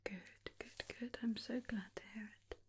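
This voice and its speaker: A woman voicing a tender, protective, faintly menacing vampire companion in a soft, soothing ASMR roleplay whisper. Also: a little high, fairly even intonation. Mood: tender, reassuring. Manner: slow, soothing, coaxing with endearments. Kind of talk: ASMR roleplay, personal attention.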